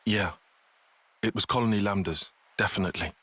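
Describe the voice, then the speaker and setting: Low-voiced, brooding young soldier. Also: male voice, a bit bright, slightly rough, no echo. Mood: measured, hesitant. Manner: hesitant, pensive, measured. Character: brooding, cautious, soft-spoken young male soldier. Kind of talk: video game cutscene acting. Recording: music behind the voice.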